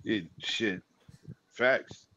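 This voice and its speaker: A man co-hosting a casual gaming chat, loud and profane, cracking jokes and laughing often. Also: on the low side, drawn-out words, highs cut off. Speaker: podcast co-host.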